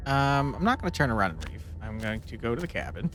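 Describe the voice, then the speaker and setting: A man streams a game in a casual voice of middling pitch. He comments and reads choices aloud, with faint music from the game behind him. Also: big pitch swings, quick pace, fairly bright tone.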